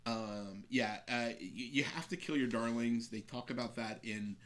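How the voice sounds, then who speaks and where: A man's voice: medium pitch, measured pace, fairly bright tone. A man speaking as a game designer guest, explaining his craft in a casual conversational way.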